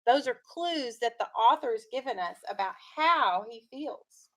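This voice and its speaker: A woman's voice: a little high, lively intonation, measured pace, a little hiss or hum. A woman teacher reading a story aloud to children, in an instructive way with leading questions.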